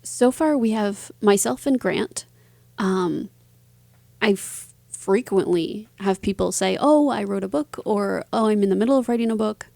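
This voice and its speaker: Woman getting interviewed, chatty and casual, mid pitch, lots of vocal fry. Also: measured pace, darkish tone, no echo. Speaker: interview guest, author and publisher. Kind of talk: casual conversational interview answers.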